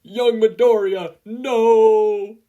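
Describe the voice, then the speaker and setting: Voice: medium pitch, fairly even intonation, very slow, dark tone, highs cut off, pitched above the performer's own voice. A narrator voicing a hearty grown man, a hero mentor who speaks in a formal, encouraging and emphatic way. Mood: alarmed. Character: hearty, booming adult male hero mentor.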